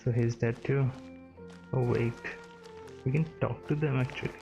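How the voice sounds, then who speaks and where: A gamer with a medium-pitched, fairly even voice gives casual commentary. The reactions are short and muttered, with clear game music behind. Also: normal speed.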